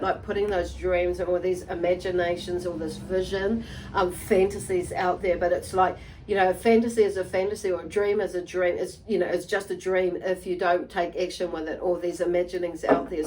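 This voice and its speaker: A woman giving an unscripted tarot reading to viewers, halting and meandering, often repeating and rephrasing herself as she goes. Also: fairly low pitch, darkish tone, slight background noise, rough recording. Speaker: tarot reader and channel host.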